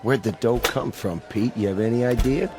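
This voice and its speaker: An actor playing a tough, menacing enforcer in a radio drama, with a curt way of talking. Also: male voice, medium pitch, normal speed, close to an everyday voice. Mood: keen. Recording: music behind.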